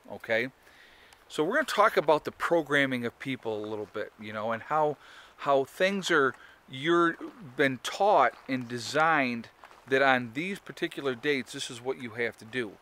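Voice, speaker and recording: A man's voice: medium pitch, pushed and bright. A man in his forties talking casually to a handheld camera outdoors, rambling with many fillers and restarts. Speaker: homesteading vlogger. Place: outdoors in woods on a sunny day. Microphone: phone or camera held at arm's length.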